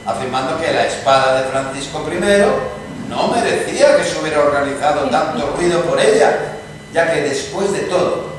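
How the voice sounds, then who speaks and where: A man playing a learned, scornful gentleman in a dramatized radio-play reading, with formal, declamatory delivery. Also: a little high, moderate pace, natural voice. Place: live stage reading in a hall. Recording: distant echoey hall, clear noise.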